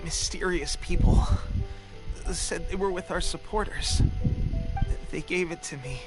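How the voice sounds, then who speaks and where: Man acting a young male game soldier, slow delivery, fairly high voice, weary, halting, earnest, music behind. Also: lightly acted, poor audio. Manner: halting, weary, breaking off and repeating words. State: exhausted, weak. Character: weakened young male soldier, eager, reassuring, hiding his exhaustion.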